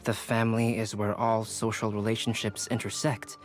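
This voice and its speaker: A man voicing a calm, courteous young alchemist and teacher in a video game, polite and inquisitive. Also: on the low side, normal speed, natural voice. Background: game music.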